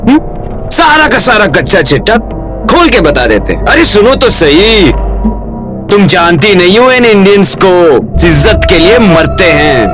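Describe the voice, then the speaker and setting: TV drama villain: mocking Indian ex-lover, high swooping voice, menacing, music behind. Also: male voice. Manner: mocking, taunting drawl. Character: sneering, menacing male blackmailing ex-lover. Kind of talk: TV crime drama acting. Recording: loud dramatic background music.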